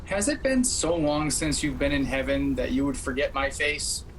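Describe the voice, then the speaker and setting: An actor playing a devout, unyielding young man, speaking in a measured, earnest and firm manner in a radio play. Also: mid-range voice, animated, lightly acted. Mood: wry, calm. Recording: faintly noisy home video-call recording.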